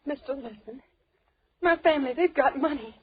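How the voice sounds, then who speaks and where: A woman's voice: high voice, moderate pace, lightly acted. An actress playing a terrified young woman held captive, pleading hysterically in 1930s radio horror style. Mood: frightened pleading. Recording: muffled, noisy 1930s radio recording.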